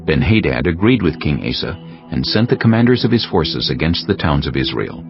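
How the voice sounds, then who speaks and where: A man narrating scripture as a scripted audiobook, in a solemn, measured storytelling manner. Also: rumbling low, sing-song. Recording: dull sound with music bed.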